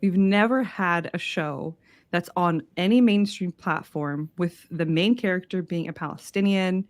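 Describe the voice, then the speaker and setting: A woman's voice: lowish voice, measured pace, no echo. A woman from Houston, a guest on a podcast, talking eagerly and telling stories. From Houston, Texas. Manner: enthusiastic, storytelling. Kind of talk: casual podcast chat.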